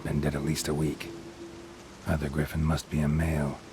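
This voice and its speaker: Very deep, flat monster hunter. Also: male voice, moderate pace, background noise. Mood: grim, observing. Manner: deadpan, terse, clipped sentences. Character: gruff, world-weary, terse monster hunter, a long-lived man. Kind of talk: video game voice acting.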